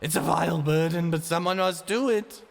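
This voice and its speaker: A man voicing a withered old man with a hoarse voice who pleads and turns shrill when he is afraid. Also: moderate pace, long reverb tail. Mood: grim.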